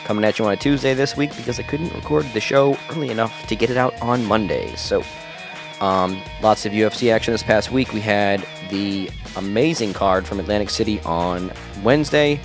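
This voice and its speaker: A man's voice: on the low side, fairly quick, music in the background. A man hosting his own podcast, chatting casually with a nasal, stuffed-up sound and lots of fillers. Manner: chatty, enthusiastic, many fillers. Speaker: podcast host. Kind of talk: casual solo podcast talk. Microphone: home podcast mic through a mixer.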